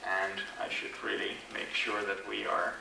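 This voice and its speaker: A man's voice: sits low, completely flat delivery, a little slow, gravelly, sharp tone. A man from Finland with a Finnish accent tinged with Irish, giving a casual, self-deprecating conference talk with many fillers and restarts. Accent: Finnish, with Irish influence. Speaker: conference speaker. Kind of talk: conference presentation. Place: large conference hall. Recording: echoey hall, distant mic, faint noise.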